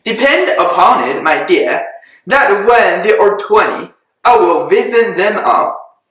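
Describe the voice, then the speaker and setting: A reader drops their voice low to play a dry, sarcastic older father in a storybook reading, teasing and unhurried. The voice stays bright, and the room echoes heavily. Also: animated. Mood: wry.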